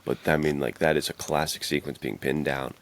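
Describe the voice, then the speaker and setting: A man's voice: rumbling low, steady tone, brisk. A man co-hosting a podcast, chatting casually in laid-back, slangy fan talk. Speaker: podcast co-host. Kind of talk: casual chat about films and games.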